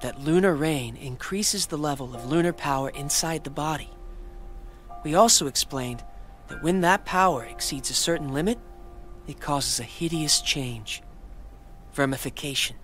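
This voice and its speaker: Earnest, high-voiced young hero. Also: male voice, a bit bright. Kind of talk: video game voice acting.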